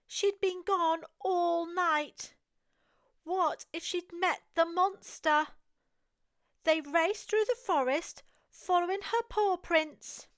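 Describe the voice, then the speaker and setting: English female storyteller, very high voice, unhurried and expressive, for toddlers' picture books. Close, dry sound. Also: dry recording. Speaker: children's storyteller. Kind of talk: read-aloud picture book narration for toddlers.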